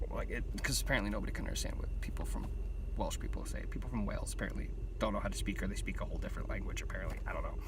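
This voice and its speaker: A man's voice: on the low side, rapid-fire. A young American man chatting casually to viewers in a rambling, self-deprecating way. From United States. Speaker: video creator and host. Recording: clear steady background noise.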